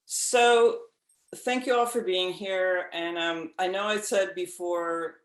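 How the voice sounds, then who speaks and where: A moderator welcoming panelists and asking questions with enthusiasm, rambling a little with many fillers. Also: male voice, sits high, fairly even intonation, takes it slowly, roomy sound. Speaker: moderator, TV critic.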